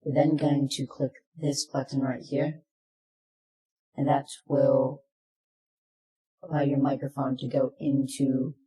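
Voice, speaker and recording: A presenter narrating a software tutorial in plain, step-by-step instructions, recorded in an echoey room. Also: mid-range voice.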